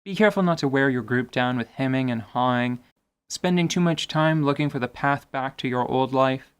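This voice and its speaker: A man's voice: medium pitch, moderate pace, clean tone. A man hosting a podcast, giving semi-scripted tabletop gaming commentary in an articulate, wordy way with wry, playful asides. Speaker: podcast host.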